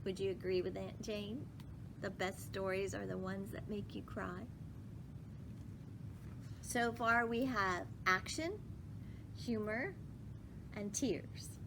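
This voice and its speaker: A woman reading a children's story aloud with emphatic phrasing, pausing to put questions to listeners. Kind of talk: expressive children's read-aloud with asides to listeners. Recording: clearly noisy with low rumble.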